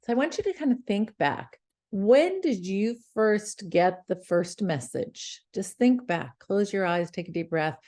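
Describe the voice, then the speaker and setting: A woman's voice: medium pitch, a little mellow. A middle-aged woman hosting a podcast, giving an earnest motivational talk straight to her listeners. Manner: earnest, coaching, addresses listener directly. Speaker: podcast host.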